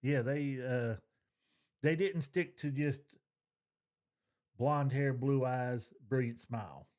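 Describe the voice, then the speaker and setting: A man's voice: medium pitch, slow, a little mellow. Casual, opinionated man co-hosting a podcast. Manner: opinionated, informal, swearing. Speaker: podcast co-host. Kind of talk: casual fan discussion.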